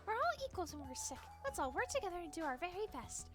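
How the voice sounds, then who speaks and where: A woman voicing a timid, gentle young female nurse who is soft-spoken and eager to please, reading game dialogue aloud. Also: very high-pitched, big pitch swings, fairly quick, music in the background, voice pushed up. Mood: earnest.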